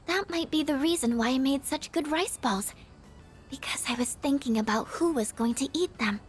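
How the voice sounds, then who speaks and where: Game role: shy, sincere schoolgirl voiced by a woman, high pitch, gentle and earnest, slightly hesitant. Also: moderate pace, soft background music, natural voice. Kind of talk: video game voice acting.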